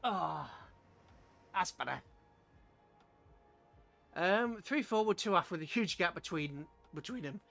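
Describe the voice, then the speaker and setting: A man's voice: high-pitched, expressive, slow, creaky, long pauses, sharp tone, faint music behind. A British man casually commentating a strategy game on a livestream, chatting with viewers and muttering rambling asides to himself as he plays.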